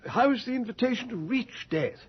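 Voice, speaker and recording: An actor playing a dry, worldly colonel of high society, creaky and pompous, in a radio drama. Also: male voice, animated, moderate pace, full character voice. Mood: wry, skeptical. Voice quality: creaky, husky. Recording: muffled 1980s radio drama recording.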